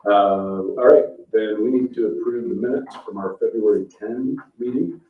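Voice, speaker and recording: Distant and echoey, this is a man with a low voice running a public meeting. He talks in a procedural, loosely formal way, and the sound is slightly muffled. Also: normal speed. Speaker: meeting chair. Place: indoor public meeting room.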